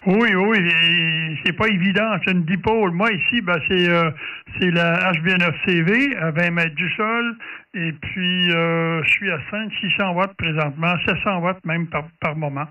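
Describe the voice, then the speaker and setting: A man with a Quebec French accent talks casually over ham radio in a high, bright voice. He hesitates and repeats himself as he goes. The sound is dull and distant from the mic. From Quebec, Canada. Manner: hesitant, halting, repeats words. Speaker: amateur radio operator. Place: at his radio station.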